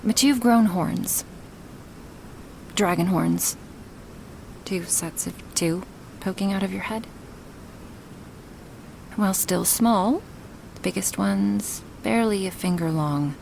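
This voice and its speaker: A woman voicing a gentle, affectionate dragon woman in an intimate ASMR roleplay, breathy and hesitant, often slipping into whispers. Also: medium pitch, slight background noise, poor audio. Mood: hesitant, gently frank. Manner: slow, hesitant, with sighs and pauses. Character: gentle dragon woman, awkward, affectionate toward her human. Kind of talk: ASMR roleplay, spoken softly and intimately to the listener.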